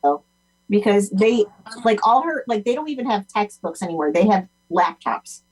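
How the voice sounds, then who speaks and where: A woman with a husky voice chatting casually as part of a group discussion. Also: mid-range voice, sing-song, moderate pace, darkish tone, rough recording. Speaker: discussion participant. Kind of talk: casual chat.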